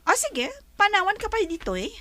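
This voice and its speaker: A woman playing a gracious young Ilocano woman in a village radio drama. Her voice is high and bright and moves with a lot of melody. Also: sing-song, a little fast, no echo, exaggerated voice. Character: young woman, gracious farm owner's love.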